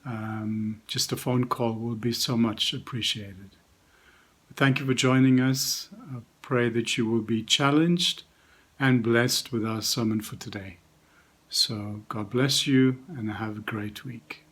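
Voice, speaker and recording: Male notices reader, mid pitch, measured pastoral delivery. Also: steady tone, a bit bright, breathy edge. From South Africa. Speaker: church notices presenter. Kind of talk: reading church notices.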